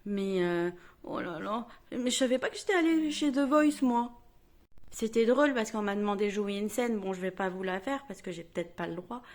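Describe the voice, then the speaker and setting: Brief: woman vlogger, slightly high voice, chatty home storytelling to camera. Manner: chatty, self-mocking, lively quoted voices.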